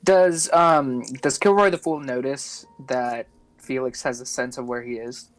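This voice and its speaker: A man's voice: mid-range voice, fairly even intonation, a little slow, dry recording, soft background music, low-quality recording. A man playing a tabletop game, asking and describing things casually and tentatively in roleplay.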